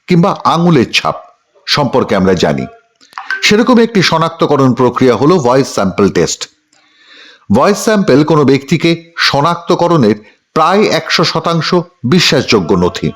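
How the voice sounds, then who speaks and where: Animated, higher-pitched male news narrator.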